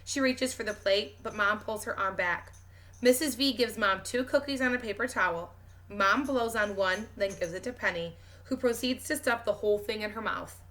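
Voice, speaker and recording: A woman who teaches, reading a children's novel aloud with expressive, clearly phrased narration. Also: mid-range voice, moderate pace.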